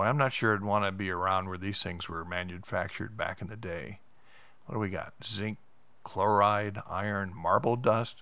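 Low, creaky American man narrating. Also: sharp tone, no echo. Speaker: vlog host and tour narrator. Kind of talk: casual narrated museum tour.

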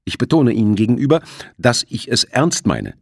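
A man voicing a determined hijacker in command of a seized ship in an audiobook, speaking in deliberate, menacing commands. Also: medium pitch, wildly swooping pitch, creaky, a little mellow, slightly rough, no room sound, clean studio sound, voice pushed up, exaggerated voice. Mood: cold threatening.

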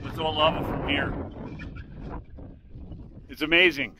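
An older man narrating a hike outdoors on a windy volcanic ridge, calmly explaining the landmarks around him. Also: a little high. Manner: unhurried, explanatory, pointing out landmarks. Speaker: hiking vlogger. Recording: faint wind noise.

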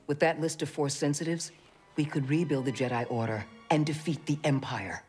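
A woman playing a calm, middle-aged former warrior mentor in a cinematic video game, measured and earnest. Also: low voice, soft background music, close to an everyday voice. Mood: solemn.

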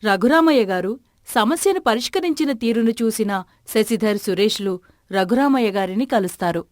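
Female storyteller: slightly high pitch, scripted narration, dry studio sound. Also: animated, moderate pace, a little mellow, no room sound.

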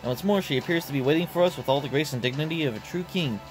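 A man's voice: on the high side, rapid-fire, soft background music, close to an everyday voice. A man voicing a terse young male ninja scout in a game who reports back in a clipped, formal way. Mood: dutiful.

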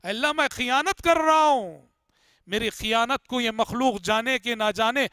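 A middle-aged man delivering a religious lecture, slowly reciting Arabic prayers and explaining them with emphatic repetition, recorded on a headset mic indoors. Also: very high-pitched, bright, forceful tone, clean tone, no echo, studio quality. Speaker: Islamic lecturer. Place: indoor room with curtained window.

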